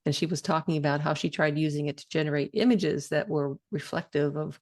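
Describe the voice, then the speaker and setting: A woman's voice: deep voice, fairly even intonation, brisk, a little mellow. A woman hosting an interview, asking friendly, curious questions and responding to her guest. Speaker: interviewer, host.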